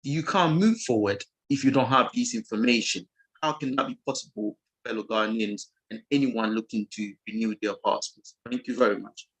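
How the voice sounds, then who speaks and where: A man on a call to an online forum lays out his question at length. He talks earnestly and circles back with fillers and restarts. His voice sits at an ordinary pitch for a man. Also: normal speed, fairly bright tone, breathy edge, poor audio. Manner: earnest, rambling, many fillers. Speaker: caller. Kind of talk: asking a question on a video call.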